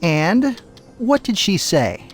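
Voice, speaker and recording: A man's voice: high voice, sing-song, takes it slowly, full character voice. A man playing a distracted, put-upon father in a video game, mild, droll and reluctant. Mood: resigned. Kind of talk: video game cutscene voice acting with tutorial instructions.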